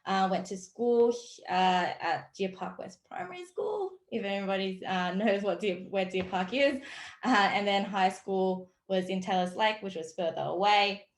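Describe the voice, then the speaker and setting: A woman's voice: medium pitch, expressive, normal speed. A woman from Melbourne with an Australian accent, giving casual answers in an interview. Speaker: agile coach being interviewed. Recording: echoey, roomy sound.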